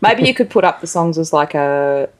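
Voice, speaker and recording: A woman's voice: low-pitched, animated, moderate pace. A woman hosting a conversational interview, speaking informally and thinking aloud as she goes. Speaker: interviewer, show host.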